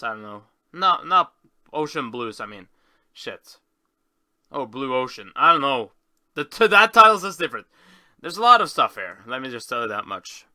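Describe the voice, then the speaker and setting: A man with a very bright, forceful voice and a clear, smooth tone talks through a casual screen tour. He rambles, stops short and corrects himself as he goes. The sound is close and dry. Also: mid-range voice, no echo. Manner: rambling, halting, self-correcting. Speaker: video host.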